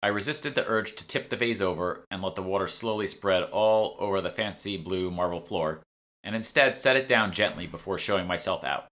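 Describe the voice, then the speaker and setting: A man's voice: low voice, fairly quick, bright, forceful tone. A man reading a first-person audiobook narration from a script. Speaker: author reading own audiobook.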